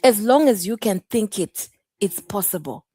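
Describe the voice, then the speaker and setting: A Zimbabwean woman, middle-aged, hosting a casual livestream chat in an unhurried, effusive way. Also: mid-range voice, expressive, mellow, husky, dry recording, clean studio sound, soft background music. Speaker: host.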